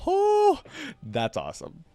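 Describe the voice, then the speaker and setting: A man giving casual, informal and expressive reaction commentary on video game content. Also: extremely high pitch, slow pace, faint music behind.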